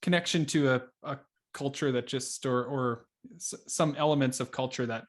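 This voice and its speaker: Podcast host: man, average pitch, informal conversational style, halting phrasing. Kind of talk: casual conversational interview.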